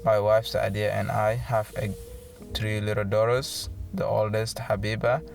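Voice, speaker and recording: A man's voice: lowish voice, little pitch movement, unhurried, vocal fry, soft background music. A man in his twenties, from Somalia, earnestly telling his own story as an interviewee. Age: 20s. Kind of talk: personal testimony.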